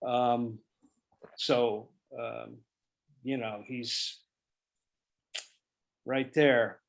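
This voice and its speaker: A man hosting a table read on a video call, polite and hesitant, with many pauses and fillers. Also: medium pitch, flat delivery, creaky. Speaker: writer and host of the table read. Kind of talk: casual video call chat.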